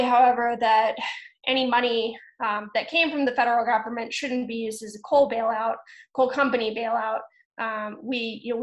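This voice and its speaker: A woman in her thirties talks through a webinar from a room at home, measured and earnest, dotting her sentences with ums. Her voice sits a little high. The video-call audio carries a noticeable room echo. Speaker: webinar presenter. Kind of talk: webinar slide presentation.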